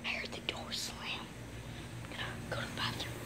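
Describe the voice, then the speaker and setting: A woman vlogging playfully and often whispering hushed asides to her viewers. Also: a little fast. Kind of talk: playful pretend-play vlog.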